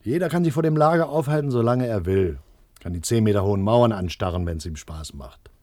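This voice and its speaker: Actor as a determined man, low and muted. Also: lively intonation, fairly quick, dark tone, no echo, studio quality, lightly acted. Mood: insistent, dismissive. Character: determined, rational adult son, militant and argumentative. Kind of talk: radio play dialogue.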